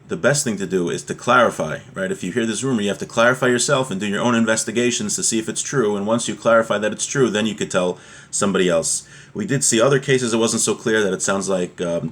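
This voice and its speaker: A man's voice: fairly low pitch, quick pace, fairly bright tone. A man teaching an informal class on religious law, explaining a text step by step with everyday examples.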